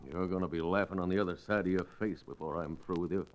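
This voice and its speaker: A man's voice: low-pitched, fairly even intonation, fast, lightly acted. A man playing a surly, menacing villain in an old-time radio Western, curt and threatening.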